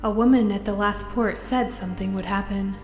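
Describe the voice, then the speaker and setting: A woman acting a distressed young woman trapped in a nightmare in an audio drama, speaking in a halting, anguished way. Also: mid-range voice, fairly even intonation, darkish tone, close to an everyday voice. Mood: haunted, halting. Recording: echoey reverberant hall with music behind.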